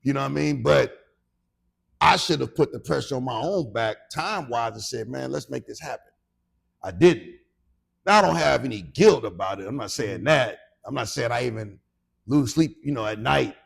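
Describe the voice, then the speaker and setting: American male co-host, late forties, heavy vocal fry and raspy tone, conversational and reflective. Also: mid-range voice, lively intonation, normal speed. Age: late 40s. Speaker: podcast co-host.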